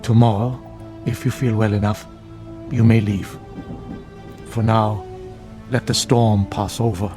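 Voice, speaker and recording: Radio drama role: solemn older holy man played by a male actor, deepish voice, measured sermon-like delivery, fervent conviction, light music underneath. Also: steady tone, close to an everyday voice. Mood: calm, authoritative. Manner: formal, measured, grave and preachy. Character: solemn bearded older monk, fervent guardian of truth. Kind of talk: classic radio drama acting.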